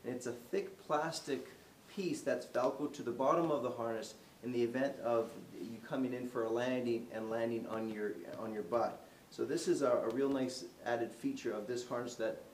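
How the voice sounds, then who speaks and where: Man, forties, casual unscripted product demo, moving about, picked up from afar in an echoey indoor room. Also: medium pitch. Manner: relaxed, unscripted, many fillers and restarts; moving around while demonstrating. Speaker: product demonstrator. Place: indoor showroom, medium-sized room. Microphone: camera mic from a distance. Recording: roomy echo, distant camera mic, faint hiss.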